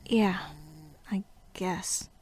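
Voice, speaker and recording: A woman's voice: mid-range voice, little pitch movement, very slow, a little mellow, slight background noise. A woman playing a hesitant, self-doubting, lovesick young person in an amateur anime-parody audio drama, in a casual manner. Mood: unsure.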